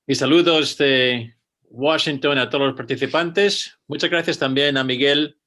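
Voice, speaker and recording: A man from Spain who is a school director, giving a prepared panel presentation in a measured, read-out way with some restarts. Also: mid-range voice, steady tone, fairly bright tone. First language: Spanish, with rusty fluency.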